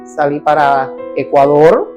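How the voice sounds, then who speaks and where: A woman's voice: deep voice, takes it slowly, dull audio. Cuban woman telling her story, faint guitar. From Cuba. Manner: animated storyteller who acts out voices. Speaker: guest.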